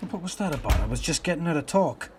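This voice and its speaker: A man playing a steady police detective in a radio play, firm and questioning. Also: on the high side, fast talker, background noise, lightly acted. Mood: brisk.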